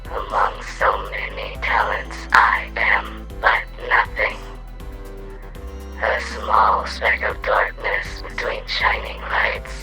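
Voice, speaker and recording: A cryptic, gloomy student who whispers as if every word were a riddle. The voice is monotone and sharply edged, with breathy croaks and extremely slow phrasing. Faint music sits behind it. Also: male voice, lowish voice, heavily acted, low-quality recording. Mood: cryptic, bleak. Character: cryptic gloomy enigmatic student who calls himself nothing. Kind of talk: audio drama acting.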